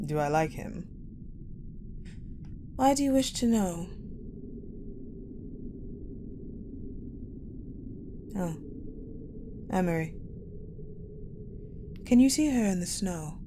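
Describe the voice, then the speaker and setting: An ice-queen general acted by a woman, with a lowish voice and very unhurried delivery. She is haughty, guarded and possessive, weighing each phrase with deliberate pauses in a dark roleplay drama. Clear ambient noise sits behind her voice. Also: animated. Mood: guarded, wistful. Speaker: audio roleplay voice actor. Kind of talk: dramatic audio roleplay monologue. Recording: clearly noisy, ambient sound bed.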